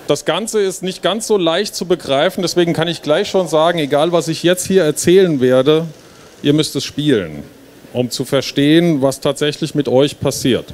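A man's voice: on the high side, darkish tone. A middle-aged man giving an informal, explanatory stage presentation into a handheld microphone. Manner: explanatory, conversational. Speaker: game developer presenting. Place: stage at a busy trade fair hall. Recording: noisy hall, filmed from audience.

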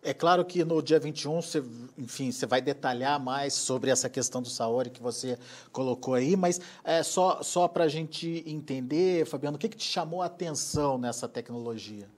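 A man's voice: medium pitch, moderate pace. A man in his thirties hosting an agricultural news program from a studio, talking in a conversational way with fillers. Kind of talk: studio interview and announcement.

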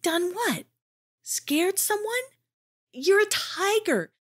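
A tiny, scared creature from a children's storybook, speaking in a high, squeaky voice that swoops up and down, as a woman storyteller puts it on. It pleads and frets, anxious to get home. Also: lively intonation, takes it slowly, fairly bright tone, heavily acted. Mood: reassuring. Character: small frightened creature lost far from home.

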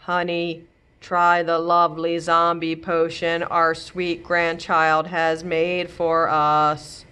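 A woman doing a gentle storybook grandma gone zombie. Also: on the low side, monotone, takes it slowly, smooth. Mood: zombie drone. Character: kind grandmother who becomes a zombie.

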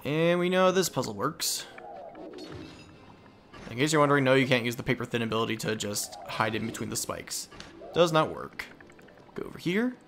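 A man's voice: medium pitch, expressive, moderate pace, long pauses, a bit bright. A man hosting a let's play with casual, goofy, rambling gameplay commentary. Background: video game music.

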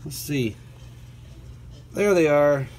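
A man reading a children's picture book aloud as a teacher, pausing for conversational asides and questions to his young listeners. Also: a little high, lively intonation, a little mellow, slight background noise.

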